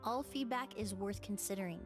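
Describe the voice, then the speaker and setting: A woman's voice: medium pitch, a little fast, lightly acted. An actress playing a composed, articulate young female perfumer in a video game, precise and polite. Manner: poised, precise, explanatory. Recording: music playing behind.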